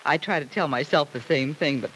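A woman's voice: low-pitched, a little fast, a bit bright, low-quality recording. An actress playing a caring, frank middle-aged mother in an old-time radio soap opera. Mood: wryly resigned. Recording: hissy old radio recording.

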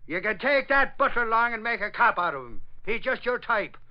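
High-voiced Irish man, folksy, grumbling, exasperated, vintage radio drama, muffled recording. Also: sing-song, brisk. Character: blustering, exasperated Irish male sidekick. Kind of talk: 1940s radio acting. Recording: dull old 1940s radio recording.

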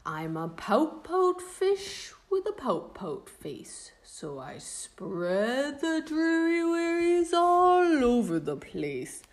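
A woman voicing a gloomy male fish in a storybook, chanting his mopey refrain and booming out loud blubs. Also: big pitch swings, slow, few pauses, exaggerated voice. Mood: glum, sing-song.

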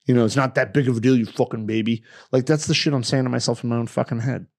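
A man's voice: medium pitch, steady tone, quick pace, a little mellow. Young guy cohosting a podcast, cusses nonstop, gets worked up and rambles, voice sits mid-range. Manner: emotional, heavy swearing, rambling restarts. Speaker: podcast co-host. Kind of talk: casual podcast chat.

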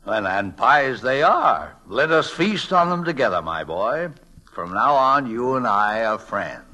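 Older male telegraph operator: grandiloquent, formal, bright-voiced, vintage radio drama. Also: medium pitch, big pitch swings, a little slow, exaggerated voice. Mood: jovial, ceremonious. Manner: florid, grandiloquent, courtly phrasing. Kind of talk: 1940s-50s radio drama acting.

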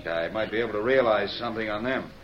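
An actor playing a smug, mock-polite, condescending villainous creditor in an old-time radio western. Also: male voice, lowish voice, quick pace, a crowd in the background, lightly acted. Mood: mock-regretful. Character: smug, smooth-talking villainous male creditor. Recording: muffled old radio recording.

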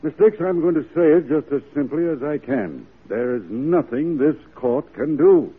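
A man's voice: a little high, animated, normal speed, husky. An actor playing a stuffy, exasperated male judge in a classic radio drama, formal and blustering. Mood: stern, dismissive. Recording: muffled old radio drama recording.

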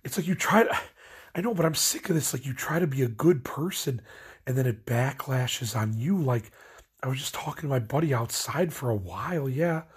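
A man's voice: medium pitch, animated, moderate pace, slightly rough. A young man from Illinois, stoned and ranting in a meandering, casual way, filling his talk with "like" and trailing off mid-thought. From Illinois, USA. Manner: meandering, filler-heavy, lots of "like". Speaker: vlogger. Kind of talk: rambling late-night rant.

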